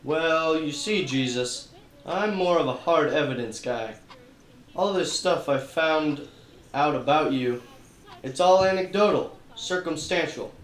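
A man's voice: a little high, a little slow, reverberant, rough recording. A man narrating and acting as a wisecracking detective in a church radio play. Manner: wisecracking, hard-boiled narration.

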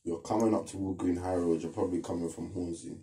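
Young london guy, real low voice, sounds a bit off-mic, lively storytelling on a podcast. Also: quick pace, distant mic. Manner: animated, storytelling, slang-heavy. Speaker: podcast co-host. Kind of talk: casual chat. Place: small indoor studio room. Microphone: clip-on lapel mic.

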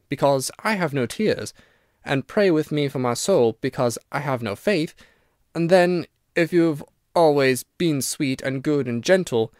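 A man's voice: a little high, a little mellow, dry recording. Casting: male reader playing an ancient storybook ghost, mournful, dreamy, dry close sound. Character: weary, sorrowful old male ghost, centuries old. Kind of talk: storybook narration character.

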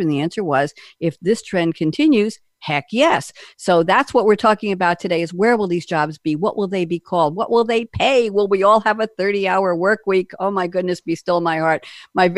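A woman's voice: medium pitch, no echo, clean studio sound. A woman from the United States hosting a radio talk show, chatty and upbeat, laughing often.

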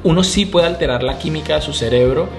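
A man's voice: fairly high pitch, fast. A young man from Panama talking animatedly to the camera in a small room at home, gesturing with his hands as he reflects. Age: young adult. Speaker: urban cycling vlogger. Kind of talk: casual vlog to camera. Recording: music playing behind the voice.

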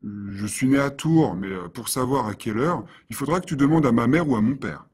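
A man reading scripted everyday dialogues for a French lesson with clear, careful delivery. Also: medium pitch, brisk, darkish tone. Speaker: dialogue reader for French learners.

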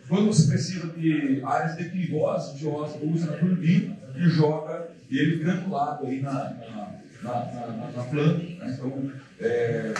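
A man giving a casual seminar talk to an audience in a large hall, repeating himself with many fillers. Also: a little high. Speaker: lecturer. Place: large dark lecture hall. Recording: echoey hall from far off, audience.

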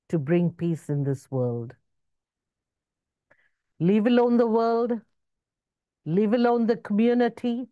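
A woman in her sixties, born in Zambia and raised in Zimbabwe, giving a slow reflective spiritual talk over a video call. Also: a little mellow, no room sound, clean studio sound. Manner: slow, measured, rising and falling in pitch. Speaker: spiritual speaker. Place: indoor room with curtains. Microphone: video call webcam.

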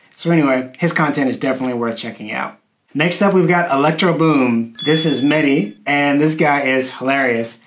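A man's voice: mid-range voice, fairly bright tone. A man in his thirties hosting a video, explaining things casually to his viewers while seated in a small room. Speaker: YouTube host. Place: small indoor room, seated at a wooden table.

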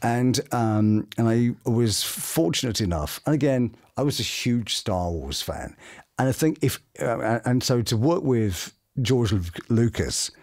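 Middle-aged male voice actor as interview guest: chatty, lively pitch swings, conversational storytelling. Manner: animated, chatty storytelling with quick asides. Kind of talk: casual interview anecdotes. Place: small home studio room, indoors.

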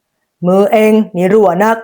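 A woman's voice: a little slow, dark tone, close to an everyday voice. A woman voicing a stingy, stern old mother-in-law in a folk tale, with a hard, scolding manner. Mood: harsh, scolding.

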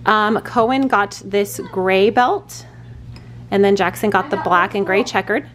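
A woman's voice: mid-range voice, unhurried, crowd noise. A woman in her thirties vlogging casually from a parked car and a hotel room, rambling with lots of fillers. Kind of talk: casual vlog chat. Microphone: camera held at arm's length.

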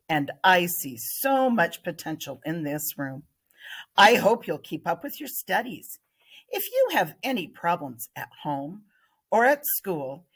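A woman voicing a kind, encouraging female social worker in a storybook, speaking deliberately. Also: fairly low pitch, breathy edge, heavily acted.

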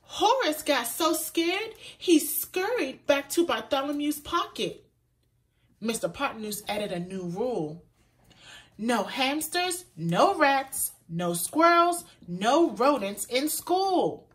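A woman reading a children's picture book aloud in an expressive, deliberate way, as a storyteller for young listeners. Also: sits high. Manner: pauses to point out words to young listeners; animated, deliberate delivery for children.

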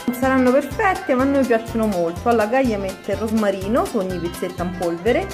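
A woman's voice: fairly high pitch, expressive, fairly quick, music in the background. A woman in her home kitchen, patiently guiding her young daughter through a recipe and praising each step. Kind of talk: casual home cooking video.

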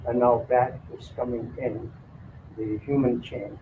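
An older man hosting, introducing a guest speaker in a halting way with many fillers. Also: medium pitch, steady tone, a little hiss or hum. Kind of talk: spoken introduction. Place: mosque lecture room. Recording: tinny lo-fi sound.

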